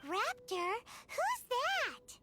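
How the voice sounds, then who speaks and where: A tiny, curious girl sidekick in an anime game. The voice is extremely high and squeaky, with lively swings and exclamations. Music plays clearly behind it. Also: very slow, heavily acted. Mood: puzzled. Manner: squeaky, exclaiming, refers to self in third person. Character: tiny childlike floating girl companion, curious and cheeky. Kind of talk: anime video game voice acting.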